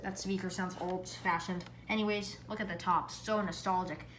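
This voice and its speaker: A female-sounding vlogger giving a casual product review in an excitable way, repeating phrases for emphasis. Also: medium pitch, normal speed. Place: indoor room at home. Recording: echoey room, background hiss.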